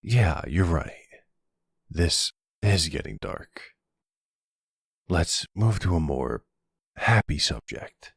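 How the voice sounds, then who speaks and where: Very deep, husky, tender mobster boyfriend, acted by a man. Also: sing-song, no room sound, studio quality, heavily acted. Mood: tender, reassuring. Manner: gentle, reassuring, deliberate. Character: Aldo, tender charming mafia boss boyfriend, middle-aged father. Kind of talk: ASMR boyfriend roleplay.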